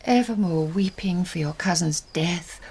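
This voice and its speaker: A woman's voice: lowish voice, a little slow, a little mellow, a little hiss or hum. An actress playing a stern, imperious aristocratic mother in a classical Shakespearean radio drama, theatrical and commanding. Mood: scolding.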